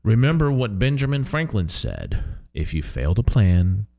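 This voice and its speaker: A man's voice: lowish voice, big pitch swings, unhurried, creaky, a little mellow. A man co-hosting a radio show in a conversational, part-scripted style, with a jokey, emphatic manner.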